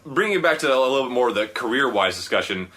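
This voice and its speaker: A man hosting a casual podcast interview, eager and chatty, quick to laugh. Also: medium pitch, animated, sharp tone.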